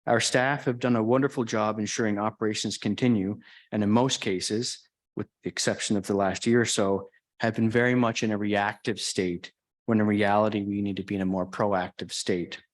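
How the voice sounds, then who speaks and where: Low-voiced man presenting to council. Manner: self-described monotone, measured and explanatory. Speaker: town chief administrative officer. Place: council chamber.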